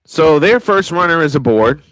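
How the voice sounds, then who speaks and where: A man's voice: a little high, lively intonation, normal speed, a bit bright, no echo, poor audio. A man calling live baseball play-by-play in a folksy, old-school broadcaster cadence. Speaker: play-by-play announcer.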